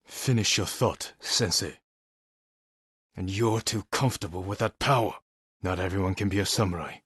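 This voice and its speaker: An actor playing a stoic, honorable samurai warrior in a video game, speaking in a measured, earnest and restrained way. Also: male voice, deep voice, fairly bright tone, slightly rough, no room sound, clean studio sound. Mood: grave, resolute. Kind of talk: scripted video game voice acting.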